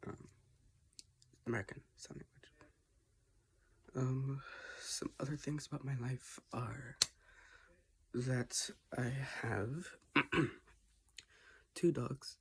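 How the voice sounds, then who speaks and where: A teenager talking casually in a personal vlog from their bedroom, halting with restarts and often dropping to a half-whisper. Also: medium pitch, fairly even intonation, slight background noise. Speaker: vlogger. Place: own bedroom, indoors.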